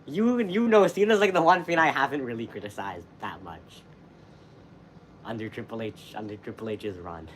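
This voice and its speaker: A man's voice: mid-range voice, normal speed, slight background noise. A man chiming in as co-host on a live stream, laid-back and casual. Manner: laid-back, short agreeing remarks.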